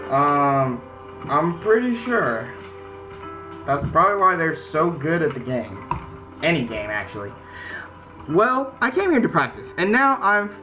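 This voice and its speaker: A man's voice: fairly high pitch, low-quality recording. An American man hosting a gaming video, mixing casual commentary with reading game text aloud, informal and prone to stammering and repeating words. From United States. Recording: music behind the voice.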